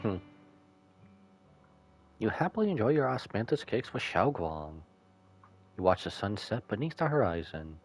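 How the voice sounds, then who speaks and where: Low-voiced man, lively swinging pitch. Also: moderate pace, long pauses. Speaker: game streamer. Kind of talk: casual live commentary and reading along. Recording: game music faintly behind the voice.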